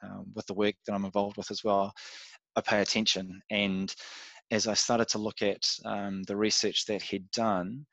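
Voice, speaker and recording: A New Zealand man with a slightly low voice recounts things in an unhurried, casual way. He thinks out loud, filling pauses with um and you know. The sound is dry and close, with no room echo. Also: flat delivery, lo-fi sound. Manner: reflective, with frequent um and you know fillers. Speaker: podcast guest. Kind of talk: casual interview chat.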